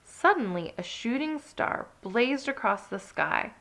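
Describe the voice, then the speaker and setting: A woman narrating a children's read-along story with expressive, dramatic emphasis. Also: on the high side, a little slow, creaky.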